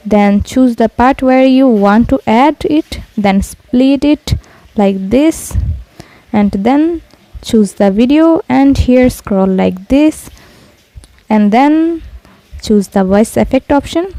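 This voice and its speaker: A woman's voice: fairly high pitch, animated, takes it slowly, mellow, no echo, rough recording. A woman presenting a scripted step-by-step app tutorial voice-over, addressing viewers in simple instructional phrases.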